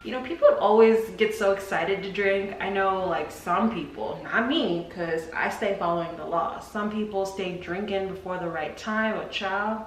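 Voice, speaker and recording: A woman's voice: mid-range voice, moderate pace, hardly any pauses. Woman in her twenties, casual chatty vlog, slangy, playful acted asides, distant roomy sound, faint noise. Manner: animated, dramatizing her own thoughts in little acted asides; informal, slangy, candid. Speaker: vlogger. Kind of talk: casual chit-chat to camera. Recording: roomy echo, off-mic, faint background noise.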